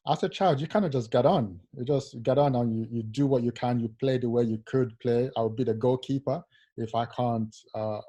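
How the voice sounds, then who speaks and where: A young man from Nigeria, a rower, telling his life story in an interview in a soft, halting way with many fillers. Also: medium pitch. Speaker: Paralympic rower, guest. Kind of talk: casual interview, personal story.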